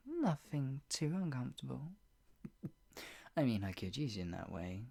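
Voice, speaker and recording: A performer playing a possessive, sadistic yandere captor in an improvised ASMR roleplay, mocking and menacing, with a breathy voice that often drops toward a whisper. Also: medium pitch, a little mellow, exaggerated voice. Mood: teasing, cruel. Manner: menacing, mocking, slow drawn-out taunts broken by laughs and sighs.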